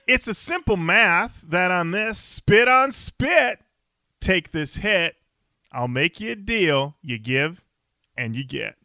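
A man's voice: high voice, expressive, takes it slowly, bright, forceful tone, no room sound, clean studio sound. A man co-hosting a music podcast, chatting casually and joking playfully. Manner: playful, jokey bits. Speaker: podcast co-host.

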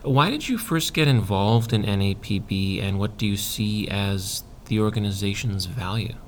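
A man interviewing a graduate student, asking measured, friendly questions. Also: lowish voice, animated. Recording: faint background noise.

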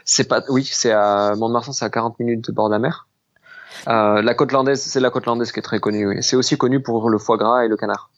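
Thirties guy from southwest france, laid-back chatty storytelling, kinda muffled lo-fi audio. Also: medium pitch. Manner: relaxed, unhurried storytelling. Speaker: pastry chef and business founder. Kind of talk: casual interview conversation.

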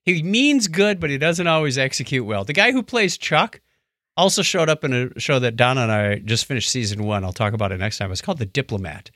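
A man's voice: mid-range voice, brisk, no room sound, clean studio sound. A man co-hosting a podcast, chatting casually about shows and telling stories with acted-out quotes. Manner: animated storytelling with acted-out quotes. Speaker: podcast co-host.

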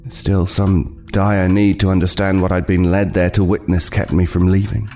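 An actor playing an uneasy homeless man who narrates his horror tale in a halting, brooding way. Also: low-pitched, level tone, warm tone. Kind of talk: dramatic first-person horror narration. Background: music.

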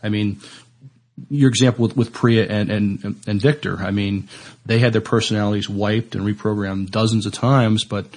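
A man in a casual podcast discussion, thinking aloud haltingly and often repeating his words. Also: fairly low pitch, fairly even intonation, a little mellow. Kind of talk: casual discussion of TV science fiction.

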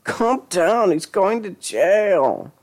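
Guy putting on a worried screechy lady in an audio story, high pitched, motherly and pleading. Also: big pitch swings, extremely slow, darkish tone, heavily acted. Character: anxious, motherly woman, screechy and distraught.

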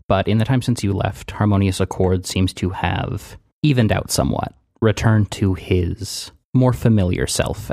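A man narrating a tabletop game as its game master, in a steady, descriptive way. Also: low-pitched, gravelly, slightly rough, no echo, studio quality.

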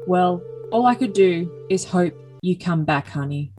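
A woman's voice: on the low side, animated, measured pace, dark tone. Woman as earnest, possessive boyfriend over music. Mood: pleading. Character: possessive, earnest young boyfriend, calls partner dear. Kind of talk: dramatic comedic reading of text messages.

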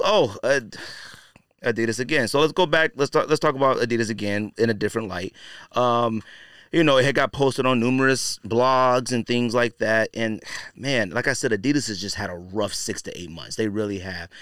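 Clear-voiced man, blunt podcast host. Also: mid-range voice, moderate pace, a bit bright, no room sound, studio quality. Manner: conversational and slangy, frequently saying "you know" and "man". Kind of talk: casual opinionated commentary.

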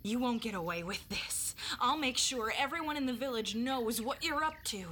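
A woman's voice: fairly high pitch, lively intonation, normal speed, pushed and bright. A woman playing a spirited, headstrong young village girl in an audio drama, with an earnest delivery. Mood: defiant. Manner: urgent, earnest. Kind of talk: audio drama acting with first-person narration. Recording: noisy ambience behind.

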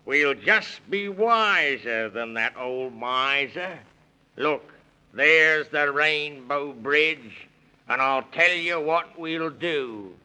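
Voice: mid-range voice, very slow, sharp tone, clean tone, heavily acted, low-quality recording. A man playing a jolly, resourceful tusked animal in a 1930s children's radio serial, hearty and slangy in rhyme. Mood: scheming, upbeat.

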